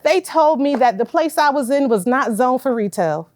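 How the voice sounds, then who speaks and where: A woman in her forties from Connecticut, a business owner giving a loud, emphatic pitch and calling her listeners y'all. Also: expressive, normal speed, dry recording, studio quality. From Hartford, Connecticut.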